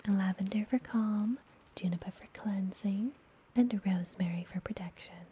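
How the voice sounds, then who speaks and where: A woman playing a friendly apothecary witch in a fantasy ASMR roleplay, speaking gently in a breathy, soothing whisper. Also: medium pitch, a little hiss or hum, lightly acted. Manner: slow, gentle, soothing delivery. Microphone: close ASMR microphone.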